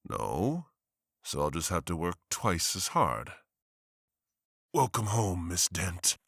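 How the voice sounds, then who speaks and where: An actor playing an earnest, protective husband, guarded and intense, in a dramatized comic. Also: male voice, deep voice, very animated, slow pace, vocal fry, a bit bright, breathy edge, no echo, studio quality, exaggerated voice. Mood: tender, guarded. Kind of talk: dramatized comic book acting.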